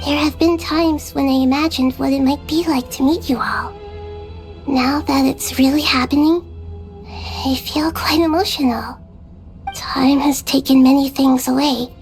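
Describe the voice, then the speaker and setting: A small, wise girl god with a high, tender voice. She speaks in formal, measured phrases as an earnest game-world ruler, over faint music. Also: steady tone. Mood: grateful, moved. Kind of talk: scripted video game dialogue.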